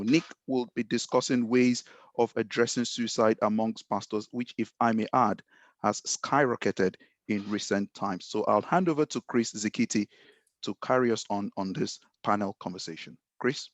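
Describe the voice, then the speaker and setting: A man hosting an event, formally introducing speakers in a measured way. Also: lowish voice, fairly even intonation, no echo.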